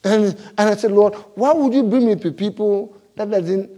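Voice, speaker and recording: Animated pastor with a non-native English accent. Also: male voice, high-pitched, normal speed. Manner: animated, laughing storyteller, big swings in loudness. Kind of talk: sermon.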